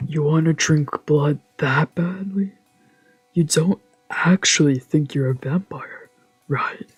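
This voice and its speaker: A woman's voice: deep voice, slow, breathy edge, dry recording, exaggerated voice. A woman voicing a cool, probing young man in a visual novel. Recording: music behind the voice.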